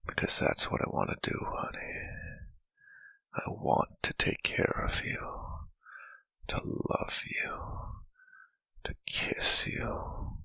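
A man playing an adoring, sensual lover in a whispered intimate roleplay, breathy and murmuring tenderly close to the mic. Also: pushed and bright, no echo. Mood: tender, soothing. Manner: slow, tender murmuring with lingering hums. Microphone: close mic, dry.

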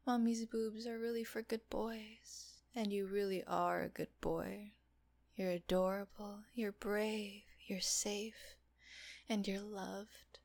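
A woman playing a slow, soft-spoken teasing nurse. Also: a little high, completely flat delivery, natural voice. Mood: teasing, coaxing. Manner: soft, often whispered, teasing and coaxing. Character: teasing, doting adult female nurse. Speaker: voice actress in an audio roleplay. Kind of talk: intimate audio roleplay.

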